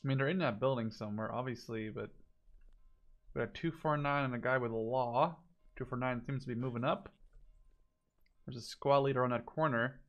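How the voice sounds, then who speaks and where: A man's voice: medium pitch, moderate pace, pauses often, a bit bright, highs cut off. Casual man commentating on gameplay. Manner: informal, thinking aloud, mildly profane. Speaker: video game spectator commentator.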